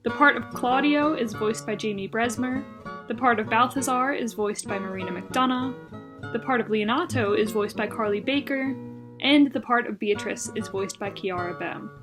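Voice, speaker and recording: A woman with a fairly high voice announces a radio theatre production in formal, scripted style. Plucked guitar music plays clearly behind her. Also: moderate pace.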